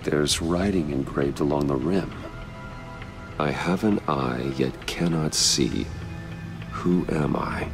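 An actor playing a serious, thoughtful investigator in a video game, pensive and measured. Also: male voice, very deep voice, over music, full character voice. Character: serious, thoughtful male investigator puzzling out clues. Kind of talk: video game cutscene acting.

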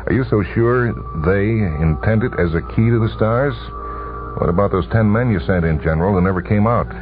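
A wiry, cynical soldier of fortune as played by a male narrator in a radio story. He talks in a sardonic, halting way, pitched up from the reader's bass. The sound is tinny and band-limited, with music behind. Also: close to an everyday voice. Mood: sardonic, probing. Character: wiry sour cool-headed male mercenary gambler.

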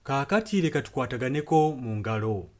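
A man's voice: medium pitch, lively intonation, brisk, darkish tone. A Ugandan man interpreting a sermon earnestly, phrase by phrase. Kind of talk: consecutive sermon translation. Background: music.

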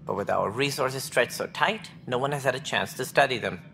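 Anxious alien official, clipped, formal, sharp bright voice, video game dialogue, faint background noise. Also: male voice, medium pitch, fairly quick, creaky. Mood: wary. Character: anxious, precise male alien official, amphibian-like salarian.

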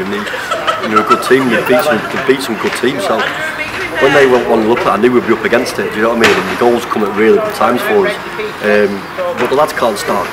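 A man in his forties with a Northern English accent, a plain-spoken football manager giving a colloquial post-match interview outdoors. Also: lowish voice. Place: outdoors on an empty football pitch. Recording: loud outdoor background noise, traffic.

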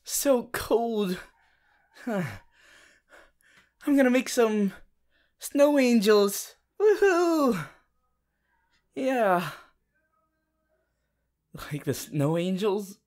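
Guy doing a shy flustered anime teen boy, stammers a lot, super slow romantic roleplay talk. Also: high-pitched, big pitch swings, darkish tone, full character voice. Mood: playful, excited. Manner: halting, stammering, flustered, giggly. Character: shy, awkward, lovestruck teenage boy hero, anime. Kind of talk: romantic audio roleplay addressed to listener.

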